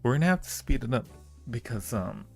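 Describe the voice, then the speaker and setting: A man's voice: mid-range voice, expressive, brisk, vocal fry, husky, soft background music. A man hosting a live-stream Q&A, answering viewer questions casually with a playful, teasing and flirty manner. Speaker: audio roleplay creator hosting a live Q&A.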